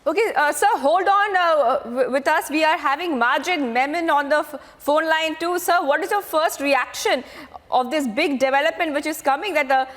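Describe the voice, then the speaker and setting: A woman's voice: high voice, normal speed, a bit bright, big-room sound. A woman with an Indian accent moderating a live news debate as anchor, hesitant and deferential as she passes questions between guests. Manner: hesitant, filler-heavy, deferential address.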